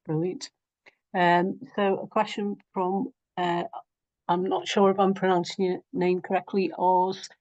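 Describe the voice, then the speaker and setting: A woman's voice: on the low side, level tone, a little slow, a little mellow, no echo. A woman moderating a webinar, reading out audience questions in a friendly, encouraging way. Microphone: video call. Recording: dull lo-fi call audio.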